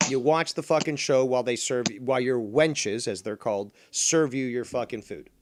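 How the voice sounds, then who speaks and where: A man's voice: mid-range voice, normal speed, no echo. A man hosting a casual call-in hangout, joking, swearing and rambling through long explanations. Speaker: host. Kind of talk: casual chat.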